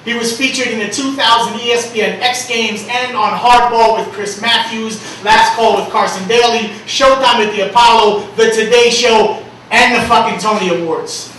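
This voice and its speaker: A man's voice: very high-pitched, fairly even intonation, moderate pace, a bit bright, a little hiss or hum. A man hosting a poetry night, introducing a performer with loose, profane, joking banter from a stage in a bar lounge. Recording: echoey room from far off, faint ambience.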